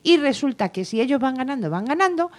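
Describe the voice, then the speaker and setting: Woman, somewhat high pitch, long-winded and argumentative in casual chat. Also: big pitch swings, fast. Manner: chatty, argues her point at length.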